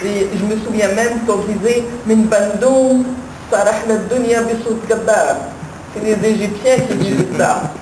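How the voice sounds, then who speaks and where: An older woman speaks up on a discussion panel and recalls earlier decades with engaged emphasis. She sounds distant in a roomy space, and there is clear background noise. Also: medium pitch, measured pace. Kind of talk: reminiscing discussion. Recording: echoey room, distant mic, background noise.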